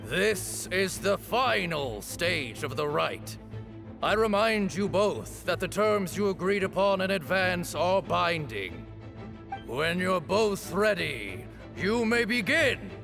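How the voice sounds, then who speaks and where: Creaky, ceremonial male duel arbiter. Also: high voice, lively intonation, takes it slowly, music in the background, exaggerated voice. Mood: formal. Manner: ceremonial, commanding. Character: stern, formal male arbiter of a sacred duel. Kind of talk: video game voice acting.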